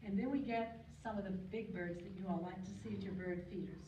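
An older woman giving an informal slide talk at a podium, chatty and digressive, with frequent ums and throat clearing. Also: mid-range voice, fairly even intonation, a little fast. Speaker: guest speaker on local birds. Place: lecture hall. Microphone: podium mic, recorded by a far camera. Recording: distant echoey hall recording, muffled, noisy.

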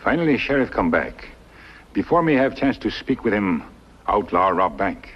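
Low-voiced stoic western companion. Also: male voice, sing-song, normal speed, husky. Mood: grave. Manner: terse broken English, grave. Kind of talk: 1950s television western acting. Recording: muffled, noisy 1950s film soundtrack.

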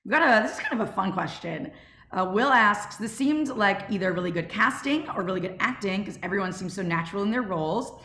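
A woman's voice: mid-range voice, animated, a little fast, sharp tone, echoey room, slight background noise. A young woman casually hosting a question-and-answer session over a video call. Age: young adult. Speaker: Q&A moderator from a student audio drama club. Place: indoors at home on a group video call. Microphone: video call microphone.

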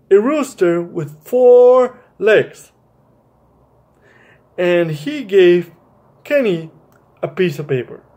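Slow-talking young man hosting story time. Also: high voice, a little mellow. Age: young adult. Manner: halting, repeats phrases. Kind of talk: children's story time, read-aloud and chat to viewers. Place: small bright room indoors.

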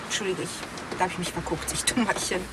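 A woman's voice: fairly low pitch, fairly even intonation, quick pace, heavy background noise, lightly acted. An actress playing a teasing, playful woman police colleague in a TV crime drama.

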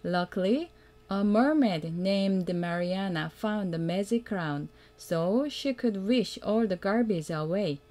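A woman reading a children's picture book aloud as a mother would, in a simple, deliberate way that invites the young listener to join in. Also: mid-range voice, expressive, a little mellow. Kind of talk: read-aloud children's storytelling.

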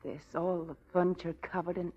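An actress playing an anxious, tender young wife in a 1940s radio drama, speaking with emotional hesitations. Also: female voice, lowish voice, little pitch movement, brisk, warm tone, husky. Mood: wistful. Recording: muffled 1940s radio broadcast with music.

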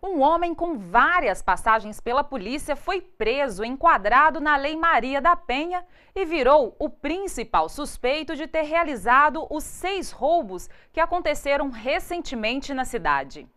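High-voiced woman news anchor. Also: sing-song, a little slow, few pauses, fairly bright tone. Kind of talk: scripted TV news presenting. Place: TV studio. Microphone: lapel mic.

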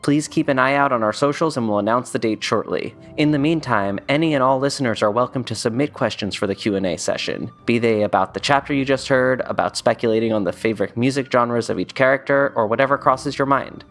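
A man's voice: medium pitch, brisk, few pauses, fairly bright tone. A man reading scripted announcements as the announcer of a podcast. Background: music.

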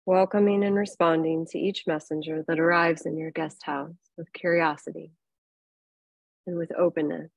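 A woman teaching yoga nidra, leading a guided relaxation in a calm, unhurried, soothing manner with long pauses. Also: deep voice, creaky, dry recording. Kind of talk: guided relaxation meditation, instructing softly.

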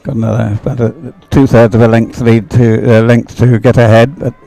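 A man's voice: mid-range voice, flat delivery, moderate pace, gravelly, dark tone. A man giving live sports race commentary in a conversational, hesitant way, often musing aloud.

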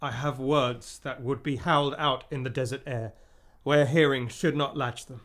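A man's voice: medium pitch, fairly even intonation, normal speed, a bit bright, close to an everyday voice. An actor playing a sombre nobleman who bears grim news, in classical radio drama style. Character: Ross, sombre nobleman bearing terrible news.

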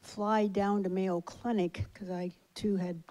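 Middle-aged woman, audience comment, warm low-key tone, handheld mic, big hall. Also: little pitch movement. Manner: measured storytelling with fillers. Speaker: audience member. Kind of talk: public comment at a lecture.

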